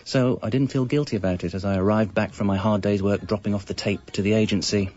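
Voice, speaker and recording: An actor playing a wry husband and young father, narrating a comic radio monologue in a deadpan, confessional way. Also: lowish voice, fast, faint music behind, lightly acted. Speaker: narrator and lead character. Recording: muffled, band-limited audio.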